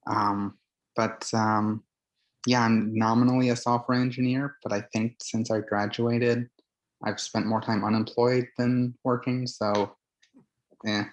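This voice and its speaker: A man's voice: mid-range voice, flat delivery, measured pace, rough recording. A man introducing himself casually in an informal, self-deprecating manner. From Charlottesville, Virginia. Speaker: meeting participant, software engineer.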